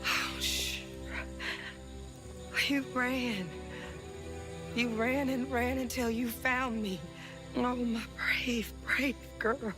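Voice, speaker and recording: A woman's voice: a little high, fairly even intonation, extremely slow, pauses often. An actress playing a loving, protective, worried middle-aged mother in a video game cutscene. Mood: relieved, tender. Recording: music under the voice.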